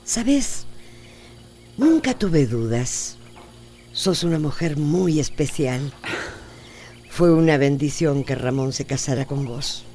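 A woman playing a proud, stubborn elderly mother-in-law with a Costa Rican accent, curt and sharp-tongued, in a radio drama. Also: sits low, expressive, slow pace, pauses often, slight background noise, full character voice. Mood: softened. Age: older.

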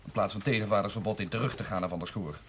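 An actor playing a stubborn, resentful young grocer in a 1970s television drama, blunt and terse. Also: male voice, on the low side, rapid-fire, lightly acted. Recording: noisy 1970s television soundtrack.

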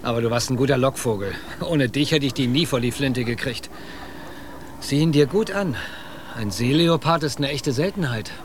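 An actor playing a hardy expedition officer and hunter, speaking in a dry, matter-of-fact, commanding way. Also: male voice, mid-range voice, moderate pace, close to an everyday voice. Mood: satisfied, unruffled. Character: Mister Wilde, hardy unruffled male expedition officer and hunter. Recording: clear outdoor ambience noise.

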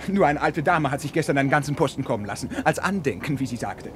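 Actor as excitable hat seller, high male voice, rapid, swooping intonation, complaining, noisy old radio drama. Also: lightly acted. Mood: flustered, indignant. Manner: excitable, lamenting. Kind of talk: 1950s German radio play acting. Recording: noisy vintage 1950s radio recording.

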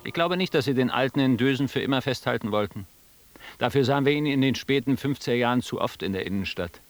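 An actor playing a wry, ironic first-person narrator looking back in a literary radio drama. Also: male voice, medium pitch, fast talker, lightly acted. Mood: wry, nostalgic. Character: male first-person narrator, wry reminiscing friend of Pasch.

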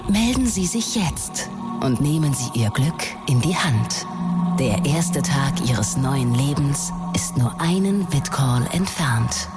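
A woman's voice: a bass voice, expressive, full character voice. A woman acting an inviting recruitment advert announcer for space colonies in a radio drama. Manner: slow, inviting, promotional. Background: loud music.

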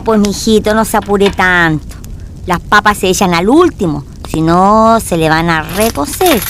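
A woman playing a bossy, motherly, folksy Chilean neighbour in a radio drama. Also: medium pitch. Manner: folksy, scolding, motherly, uses endearments. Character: chatty bossy motherly neighbour woman, former cooking teacher. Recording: noisy, clinking kitchen sounds.

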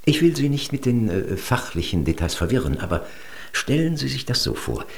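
An actor playing a condescending East German state doctor in a radio drama, explaining things in an officially reassuring way. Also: male voice, medium pitch, big pitch swings, normal speed, creaky. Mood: patronizing, reassuring.

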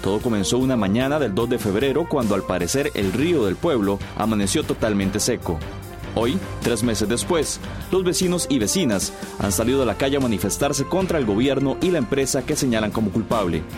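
A man's voice: medium pitch, lively intonation, a little fast, close to an everyday voice. Actor as a formal male news anchor over music. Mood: serious. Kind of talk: scripted news reading in a radio drama.

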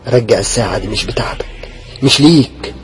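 A man with an Egyptian accent voicing a cold, threatening male intruder who speaks slowly and low. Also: slight background noise, close to an everyday voice. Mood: menacing. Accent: Egyptian Arabic.

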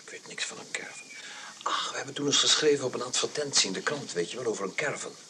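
A man's voice: mid-range voice, lively intonation, quick pace, in a whisper, close to an everyday voice. An actor playing a vague, offhand celebrity muttering to his secretary in a radio comedy.

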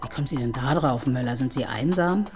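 A woman's voice: a bass voice, brisk. An actress playing a skeptical female police inspector in a radio crime drama, probing her colleague with dry irony. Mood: interrogating. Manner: dry, probing, ironic questioning. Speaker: detective chief inspector.

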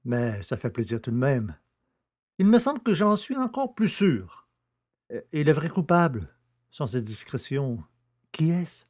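Some actor as a grumbly naive jailbird, folksy poacher type, really expressive, voice jumps around a lot. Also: male voice, medium pitch, unhurried, long pauses. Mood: pleased. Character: plain-spoken, indignant male poacher prisoner, grumbling and naive. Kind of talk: French audio drama acting.

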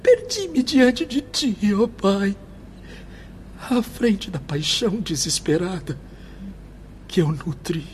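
Tormented, high-strung man in anguish. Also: very animated, slow, long pauses, a little hiss or hum, heavily acted. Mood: desperate repentance. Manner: theatrical, anguished, swinging from confession to frenzy. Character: tormented, remorseful man crazed by obsessive jealous passion. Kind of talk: radio drama acting. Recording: loud dramatic music behind the voice.

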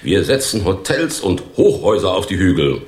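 A man's voice: sits low, sing-song, moderate pace, a little mellow, echoey room. An actor in a radio play, playing a grandiose down-and-out former stage performer who poses as a big businessman, talking in a theatrical, persuasive way. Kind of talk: German radio play acting.

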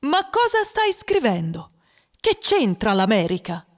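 A woman's voice: on the high side, huge pitch swings, a little slow, in a large hall. An actress voicing a cruel female primary school teacher, sneering and scolding in a radio drama. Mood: scornful. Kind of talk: radio drama, quoted within a monologue.

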